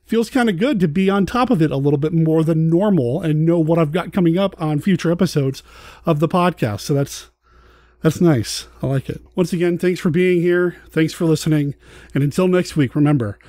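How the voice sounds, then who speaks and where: A man's voice: on the high side, fairly quick, dark tone, no echo, clean studio sound. A man hosting a podcast, talking in a casual, chatty and conversational way. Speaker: podcast host.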